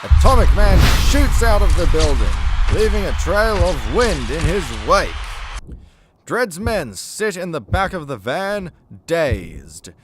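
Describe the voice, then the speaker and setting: A man's voice: high voice, big pitch swings, slow pace, faint music behind, full character voice. A man narrating a scripted superhero comedy radio play with a dramatic announcer's delivery.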